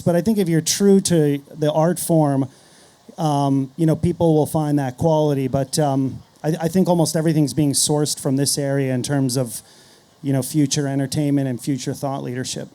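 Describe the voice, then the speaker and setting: A man with a fairly high but very soft-edged, mellow voice talks on a panel. He is middle-aged and chats in an informal, anecdotal way, sprinkling in casual filler phrases. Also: steady tone, moderate pace. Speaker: panelist, podcast host. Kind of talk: conference panel discussion, casual.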